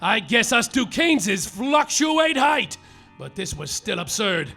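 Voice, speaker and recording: A man playing a hapless hardboiled private detective, narrating in a wry noir deadpan on a live stage. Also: sits high, slow, vocal fry, faint music behind, heavily acted. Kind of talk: live comedic radio-play narration.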